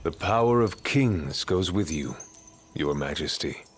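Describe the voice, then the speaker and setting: A man playing a stern, composed royal guard and mentor in a video game, speaking in a measured, formal, authoritative way. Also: sits low, expressive, faint music behind. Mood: grave, steady. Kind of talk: video game cutscene acting.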